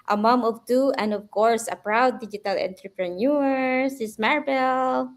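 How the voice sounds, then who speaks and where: High-voiced Filipino-accented woman. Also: unhurried. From Philippines. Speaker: livestream co-host. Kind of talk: casual livestream greeting.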